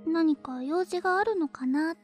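A woman acting a shy, gentle, hesitant schoolgirl in a voice drama, speaking softly. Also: very high-pitched, moderate pace, faint music behind. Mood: friendly. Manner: hesitant, soft-spoken.

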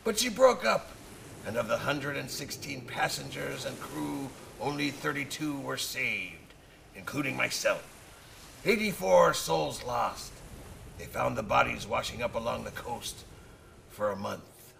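A man playing a weathered, kindly old sea captain in a radio drama, with a gravelly voice and folksy, salty sailor talk. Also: medium pitch, expressive, unhurried, a bit bright, slight background noise, heavily acted, poor audio. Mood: grave, mournful. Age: older. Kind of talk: theatrical radio drama acting.

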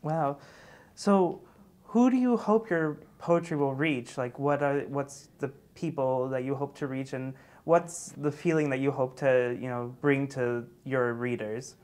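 A man's voice: medium pitch, a little slow, a little mellow. Man interviewer rephrasing long questions. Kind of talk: studio interview. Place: dark TV studio, seated.

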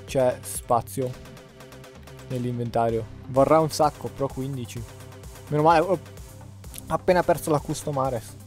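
A man's voice: medium pitch, a little slow, long pauses. A man with an Italian accent giving casual gameplay commentary while playing with friends. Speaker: gaming YouTuber. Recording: faint music behind.

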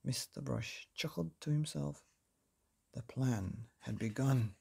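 A man reading a children's book as an expressive bedtime storyteller, deliberately and with dramatic pauses. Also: mid-range voice, gravelly, slight background noise.

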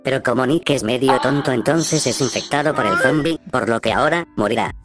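A man's voice: medium pitch, fairly quick, over music. A man narrating a scripted, comedic recap of a video game as a voice-over, full of sarcastic asides.

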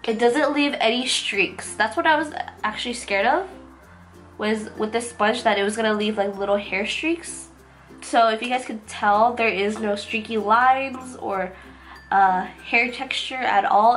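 A woman's voice: on the high side, measured pace, fairly bright tone. A woman in her twenties with a nasal, congested voice from a cold, giving an enthusiastic, casual makeup review as a beauty vlogger. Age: 20s. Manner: enthusiastic, gushing. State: getting over a cold. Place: small room at home, indoors. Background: background music.

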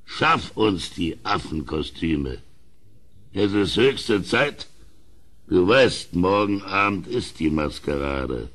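A man's voice: low-pitched, lively intonation, slow, a bit bright, full character voice. An actor playing an imperious, blustering, tyrannical king in a vintage German radio play. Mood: eager, commanding. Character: tyrannical, boastful king with a cruel streak.

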